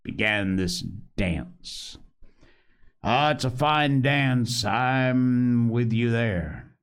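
A man voicing a cunning, commanding older male pirate captain with rough sailor talk and scornful manner. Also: medium pitch, very slow, voice pushed up. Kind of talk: audiobook character reading.

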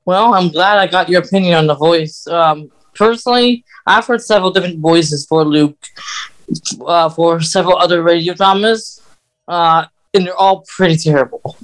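Higher-voiced man, casual podcast host. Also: moderate pace, a bit bright, rough recording. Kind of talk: casual chat.

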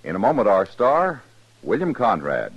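Dull-sounding old radio announcer, swooping low voice. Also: male voice, huge pitch swings, moderate pace. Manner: emphatic, formal delivery. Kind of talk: 1950s radio announcing, scripted. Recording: dull old radio recording, faint music.

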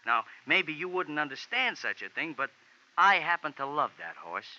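An actor playing a little racetrack character who talks in stilted, formal slang in 1940s radio style. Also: male voice, on the high side, sing-song, moderate pace, bright, forceful tone, exaggerated voice. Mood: earnest, emphatic. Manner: stilted formal diction without contractions, slangy flourishes. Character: eccentric horse-loving racetrack character, little man, wordy and formal. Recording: dull, noisy 1940s radio recording.

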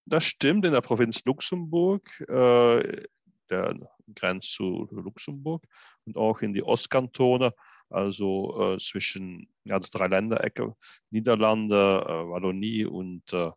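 Man, low gravelly voice, halting with many fillers, casual interview, dry dull lo-fi recording. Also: no echo. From Belgium. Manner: hesitant, many fillers and restarts. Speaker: interpreter and podcast guest.

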